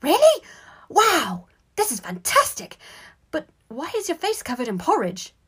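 A woman voicing an eager, gullible young schoolboy with a squeaky voice in a story reading. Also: big pitch swings, fairly quick, husky, exaggerated voice. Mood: giddy delight. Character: eager, gullible, giddy young schoolboy brother, easily flustered.

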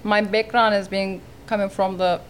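A Turkish-accented woman in her thirties speaks at a middle pitch in earnest, halting English. She is a guest on a podcast interview and talks about her work as a real estate agent. A faint hiss runs under the recording. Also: flat delivery. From Turkey. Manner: earnest, halting non-native phrasing.

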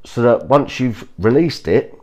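A man hosting a podcast, sounding croaky from a cold, giving earnest casual advice with frequent restarts and repeated reassurances to his listeners. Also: medium pitch, lively intonation, a little slow, mellow. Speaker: podcast host. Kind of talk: casual motivational talk.

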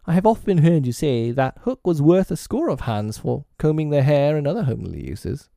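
A man's voice: fairly high pitch, big pitch swings, moderate pace, gravelly, dark tone, dry recording, studio quality, pitched above the performer's own voice. A man voicing a dim, eager, faithful pirate boatswain in a storybook, naive and earnest. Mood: puzzled.

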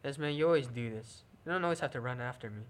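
A man's voice: medium pitch, fast, lightly acted. A man playing an anxious, grieving young prince in an audio drama. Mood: casual, evasive.

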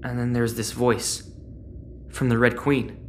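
A teenage boy, acted by a woman, speaks very low and unsure of himself, confused and questioning. It is played as sci-fi audio fiction, with faint noise in the background. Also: little pitch movement, measured pace. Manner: hesitant, unsure. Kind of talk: audio-fiction drama dialogue.

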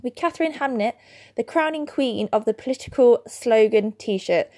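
A woman hosting a solo podcast, chatty and quick to laugh at her own slips over hard words. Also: fairly high pitch, mellow. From England. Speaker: podcast host.

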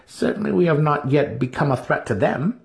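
A man voicing a hostile female dark elf priestess with a sharp manner, in a fantasy storybook reading. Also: fairly high pitch, lively intonation, a little fast, gravelly, pitched above the performer's own voice. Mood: wary. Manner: sharp, snarling.

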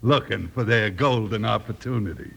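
An actor playing a boastful, scheming western swindler boss in an old time radio drama, gravelly and commanding. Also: male voice, fairly low pitch, normal speed, noisy background. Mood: menacing. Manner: gloating, commanding.

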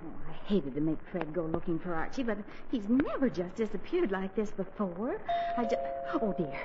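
A woman's voice: medium pitch, big pitch swings, moderate pace, lightly acted. An actress playing an anxious, fretful middle-aged mother in a 1940s radio comedy. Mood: worried. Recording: muffled, hissy 1940s radio broadcast.

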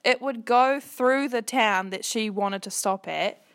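Casual co-host: New Zealand woman, animated storyteller, laughs easily, acts out quotes. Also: a little high, measured pace. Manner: animated, laughs often, quotes others in lively voices. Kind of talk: casual chat.

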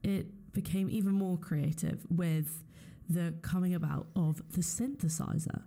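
A woman's voice: lowish voice, fairly even intonation, unhurried, mellow. A woman hosting an interview in a casual, chatty style. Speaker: interviewer, host.

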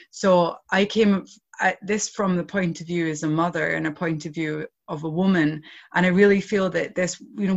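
Woman not from ireland, earnest interview talk, loads of fillers, kinda dull lo-fi audio. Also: lowish voice. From outside Ireland. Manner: earnest, flowing, many "you know" fillers. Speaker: project founder, guest.

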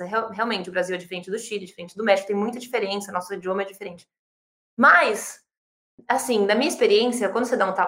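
A Brazilian woman in her thirties, a startup founder answering interview questions with lively, quick speech full of fillers and restarts. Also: medium pitch, roomy sound. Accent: Brazilian Portuguese. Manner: fast, animated, many fillers and restarts.

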